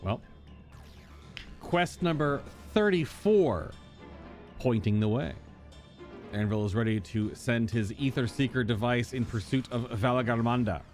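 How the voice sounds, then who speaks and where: A man's voice: mid-range voice, expressive, unhurried, pauses often, a little mellow, faint music behind. A man streaming a game playthrough, reading dialogue aloud and tossing in playful, informal asides. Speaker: gaming streamer.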